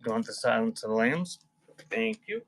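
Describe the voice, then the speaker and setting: Guy doing a live auction stream, normal mid pitch, casual rambly chat in between calling out items. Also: sing-song, normal speed, creaky. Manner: conversational, rambling between item call-outs and chat. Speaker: live auction seller and host. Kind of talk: casual livestream selling chat.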